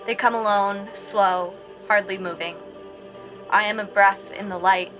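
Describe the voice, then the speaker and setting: A woman's voice: medium pitch, fairly even intonation, measured pace, a bit bright, low-quality recording. Woman reciting poetry over music. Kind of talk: poetry reading.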